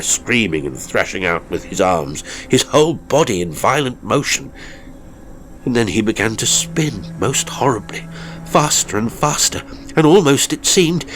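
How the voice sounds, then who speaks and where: A man playing a haunted, seasoned mountaineer telling a horror story in a measured, formal, gravely intense way. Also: on the low side, fairly bright tone, low-quality recording. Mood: horrified. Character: seasoned male mountaineer, haunted, grimly recounting a fatal climb. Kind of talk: dramatic first-person horror storytelling.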